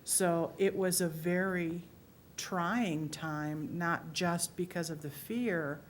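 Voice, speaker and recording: A woman's voice: slow, a little hiss or hum. A middle-aged woman in an audience, speaking earnestly and with emotion about her memories. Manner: earnest, emotional, low and even. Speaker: audience member. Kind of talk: personal reflection at a public talk. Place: public library meeting room.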